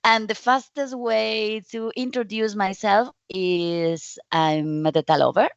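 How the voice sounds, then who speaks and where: A woman from Spain with a Spanish accent, giving a conference presentation in friendly, halting non-native English with frequent restarts. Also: medium pitch, steady tone, smooth, no room sound, dull audio. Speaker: conference speaker.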